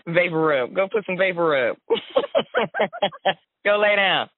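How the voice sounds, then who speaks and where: A young woman tells stories in a relaxed, chatty way and laughs often as she goes. The sound is dry and narrow, with the boxy, thin quality of a phone line. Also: fairly low pitch, slow, slightly rough, no echo. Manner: laughs often, animated storytelling. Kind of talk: casual chat.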